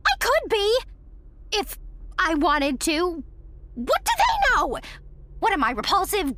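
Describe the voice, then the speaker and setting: Struggling young actress, audio drama, sardonic, theatrical, very lively pitch, unhurried pace. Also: female voice, dry recording, exaggerated voice. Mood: indignant. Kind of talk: naturalistic audio drama dialogue.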